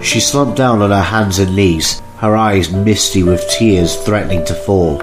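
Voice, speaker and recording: Very deep-voiced male narrator, roomy. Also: measured pace. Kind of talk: read-aloud story narration. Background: music.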